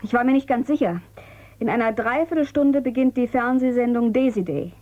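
A woman's voice: a little high, fairly quick, dark tone, muffled recording, natural voice. An actress playing a defiant, cool-headed girl of eighteen in a radio drama, with a pert, questioning manner. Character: defiant, cool-headed eighteen-year-old female space tourist. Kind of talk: German radio drama acting.

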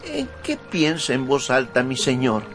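An actor playing a humble, practical squire with a deferential, hesitant way of talking in a radio drama. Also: male voice, mid-range voice, wildly swooping pitch, measured pace. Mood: puzzled. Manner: deferential, stammering, plain-spoken. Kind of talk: Spanish-language radio drama acting. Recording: studio radio drama with background music.

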